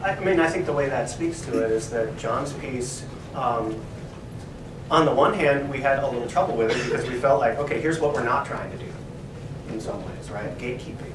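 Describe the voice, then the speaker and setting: A man's voice: mid-range voice, fairly quick. A male professor discussing literature at a library talk, thoughtful and hedging with frequent "right?". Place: room in a university library. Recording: echoey room, distant mic, background noise.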